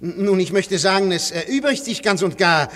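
Flustered high-voiced anarchist orator in a hall. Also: male voice, animated, fairly bright tone. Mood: evasive, uneasy. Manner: declamatory, halting when cornered. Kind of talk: German radio play acting. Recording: echoey hall with long reverb.

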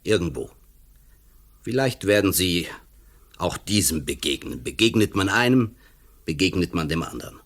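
Low-voiced, brooding intellectual writer. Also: male voice, lively intonation, a bit bright. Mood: intense, philosophical. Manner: emphatic, philosophising, deliberate. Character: brooding male writer, intellectual, slyly malicious. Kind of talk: radio play acting.

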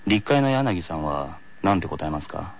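A man voicing a grumbling teenage tennis player in a flat mutter, in anime radio show style. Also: sits low, normal speed, heavy background noise, pitched below the performer's own voice. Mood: gloomy muttering.

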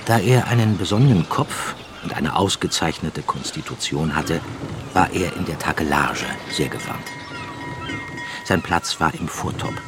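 A man narrating a literary radio drama in a measured storytelling manner, reading from a script. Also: a bass voice, expressive, noisy background. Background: music behind the voice.

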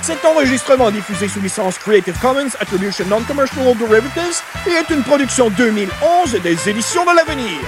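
A man's voice: very high-pitched, animated, a little fast, hardly any pauses, music in the background. A man reading scripted credits as the announcer of a radio show.

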